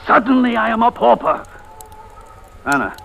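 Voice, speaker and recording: An actor playing a proud, bitter, desperate older husband in a theatrical old radio drama, imperious and sarcastic. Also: male voice, very high voice, very animated, normal speed, gravelly, fairly bright tone, heavily acted. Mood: frantic. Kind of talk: 1940s-50s radio drama acting. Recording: noisy vintage radio recording.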